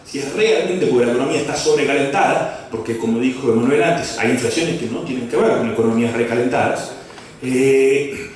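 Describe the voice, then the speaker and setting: Halting male lecturer in echoey hall. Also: medium pitch. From Brazil. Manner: halting, many fillers and restarts, asides and jokes. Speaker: economics lecturer. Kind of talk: academic talk. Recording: echoey hall from far off, faint noise.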